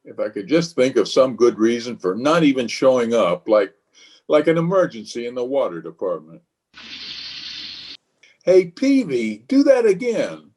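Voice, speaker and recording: An actor playing a blustery, pompous, scheming middle-aged water commissioner, hammy and exclamatory, in an old-time radio comedy. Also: male voice, medium pitch, big pitch swings, moderate pace, darkish tone, slightly rough.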